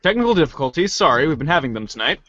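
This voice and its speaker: A woman's voice: low voice, very animated, very fast, a bit bright, dry recording. A woman hosting a casual live amateur show and directing the readers. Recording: lo-fi with clear background noise.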